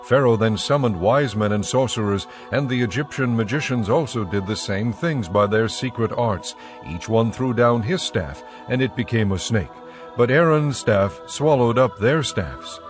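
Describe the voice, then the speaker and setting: A man narrating scripture from a script in a measured, formal storytelling manner. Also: on the low side, faint music behind.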